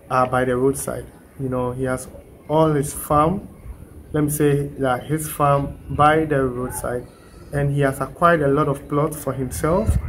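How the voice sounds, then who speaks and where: A man's voice: a little high, a little hiss or hum, rough recording. Young man vlogging by phone. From Ghana. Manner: chatty, informal, with frequent fillers and restarts. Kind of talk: casual selfie vlog. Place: covered porch of a building site, outdoors. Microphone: phone at arm's length.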